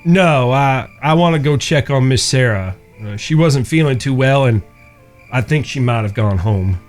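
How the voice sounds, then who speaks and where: Chivalrous gunfighter, male actor: courteous, steely, medium pitch, light music underneath. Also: animated, natural voice. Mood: apologetic. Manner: courteous, measured, earnest. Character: chivalrous blind cowboy, polite but steely. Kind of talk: Western audio drama acting.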